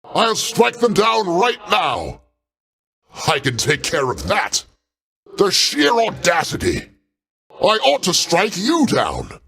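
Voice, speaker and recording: An actor playing a proud, paternal older god in a video game, speaking in a pompous, avuncular way with formal, theatrical diction. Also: male voice, sits high, huge pitch swings, takes it slowly, creaky, fairly bright tone, exaggerated voice. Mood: wrathful. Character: grandiose, paternal older male Greek god, proud, easily offended.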